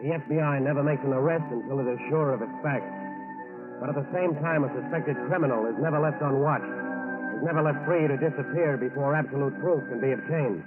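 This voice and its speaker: A man delivers formal scripted narration in 1940s radio style. His voice sits at a medium pitch. The recording is thin and boxy, with prominent music underneath. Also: brisk, phone-quality sound. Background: loud dramatic music.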